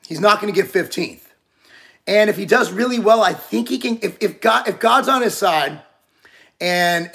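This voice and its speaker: A man in his forties talks in a loose, rambling way, restarting his sentences as he chats with a co-host. His voice sits high for a man and has a gravelly edge. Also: fairly bright tone. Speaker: podcast co-host. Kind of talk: casual chat.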